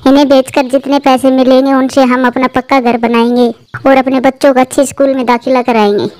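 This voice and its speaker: Woman as a high-voiced, caring mother bird. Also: little pitch movement, brisk, a little mellow, no echo, close to an everyday voice. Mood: hopeful. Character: gentle, hopeful mother bird, devoted wife. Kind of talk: Hindi cartoon moral story acting.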